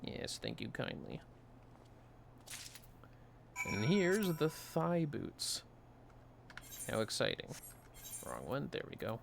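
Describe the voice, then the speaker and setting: Wry guy with a lively, creaky voice. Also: mid-range voice, slow pace, long pauses. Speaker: livestreamer playing an online fantasy game. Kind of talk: casual game commentary. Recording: music behind the voice.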